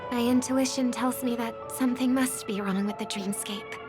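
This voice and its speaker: A woman voicing a gentle, worried young singer and devoted little sister in a game, speaking softly and pensively. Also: a little high, fairly even intonation, moderate pace, close to an everyday voice. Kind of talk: game voice acting, soft dramatic dialogue. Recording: background music under the voice.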